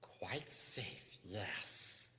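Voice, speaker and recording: A man's voice: deep voice, big pitch swings, pushed and bright, full character voice. A man voicing a wretched, wicked, obsessive cave creature who hisses and whispers to himself in a strained voice, as in a storybook reading. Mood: wicked whispering. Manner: talks to himself, drawn-out muttering. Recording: echoey room with long reverb.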